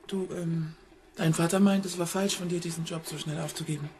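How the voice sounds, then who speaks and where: A woman's voice: fairly low pitch, fairly even intonation, a little fast, noisy background, close to an everyday voice. An actress playing a worried middle-aged mother in a crime radio drama, hesitant, insistent and often repeating herself. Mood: reproachful. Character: worried mother searching for her missing banker partner.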